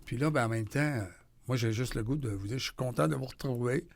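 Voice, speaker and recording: Host: quebecois man, mid-pitch, chatty and upbeat, rambles. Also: fast talker, creaky, slight background noise. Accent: Quebec French. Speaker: radio host. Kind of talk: radio interview chat.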